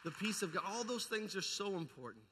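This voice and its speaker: A man's voice: sits high, big pitch swings, a little fast, noisy background. A man preaching a fervent, emphatic charismatic sermon as a pastor, repeating phrases and putting questions to his congregation. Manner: puts rhetorical questions to the congregation. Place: large indoor church hall. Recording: long hall reverb, amplified church sound.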